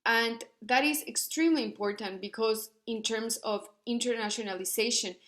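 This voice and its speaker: Young woman giving a research talk, a bit high pitched, lots of uhs and restarts. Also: normal speed, fairly bright tone. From Ecuador. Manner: earnest, with frequent uh fillers and restarts. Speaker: student researcher presenting. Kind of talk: academic presentation.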